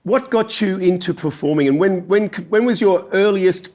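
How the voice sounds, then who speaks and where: A man hosting a podcast, his voice a little croaky after a gig, guiding the guest with friendly questions. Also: high-pitched, moderate pace, darkish tone. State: slightly croaky voice. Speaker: podcast host and gigging musician. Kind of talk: casual interview.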